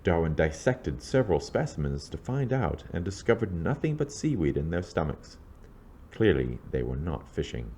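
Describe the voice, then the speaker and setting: Male narrator for scholarly history script; very low pitch, expressive intonation, measured and formal. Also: slight background noise. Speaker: lecturer reading own introduction.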